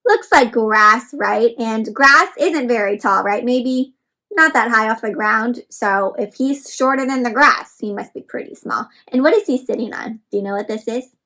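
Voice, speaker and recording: A woman's voice: fairly high pitch, moderate pace, bright, forceful tone. A woman hosting an interactive read-aloud for children, speaking expressively and instructively and often putting questions to her young listeners.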